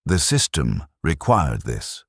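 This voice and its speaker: A man narrating a scripted first-person war story in a grave, measured, formal manner. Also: rumbling low, wildly swooping pitch, breathy edge, no echo, clean studio sound.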